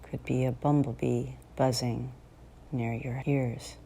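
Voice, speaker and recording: A woman guides a meditation in a notably low, mellow voice, soft and close to whispering at times. She speaks very slowly and soothingly, leaving long pauses between phrases. A faint background noise sits behind her. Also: level tone, creaky.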